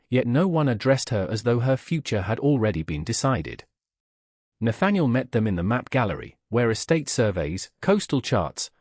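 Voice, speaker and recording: A man reading historical romance fiction as a measured, formal audiobook narrator. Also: mid-range voice, a little mellow, no echo, clean studio sound.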